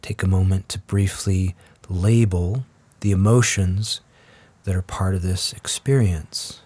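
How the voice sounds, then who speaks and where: A man guides a meditation in a low, very unhurried voice. His delivery is gentle and measured, leaving long pauses between instructions. The sound is close and dry, with no room echo. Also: fairly even intonation.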